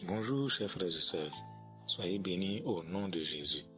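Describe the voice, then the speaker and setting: A man telling a testimony in a measured, orderly way. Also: low voice, animated, muffled recording, music in the background. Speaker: caller giving a testimony. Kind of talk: composed spoken testimony.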